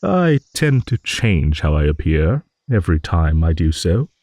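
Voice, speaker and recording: Man voicing a slow, low old dragon. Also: sing-song, no room sound, exaggerated voice. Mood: playful storytelling. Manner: rambling, musing, with hums and soft chuckles. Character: rambling old dragon, genial, wistful and a little vain. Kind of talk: improvised fantasy audio monologue.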